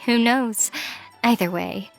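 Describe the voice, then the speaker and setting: A woman's voice: on the high side, slow pace, creaky, soft background music. A woman playing a composed, loyal young female employee in a manga story dub, polite, matter-of-fact and encouraging. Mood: calm, smug.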